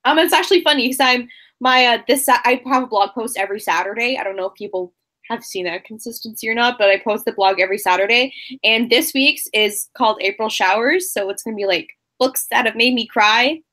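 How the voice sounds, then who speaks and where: An easygoing woman author takes part as a guest in a casual book chat over a video call. Her voice is fairly high, and she keeps her replies short and relaxed, often just agreeing. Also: fairly quick.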